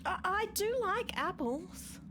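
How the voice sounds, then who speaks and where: Death's timid horse: childlike radio-comedy innocent, very high voice, hesitant, noisy backdrop. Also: female voice, animated, slow pace, exaggerated voice. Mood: timid, fretful. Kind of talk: comic radio play acting.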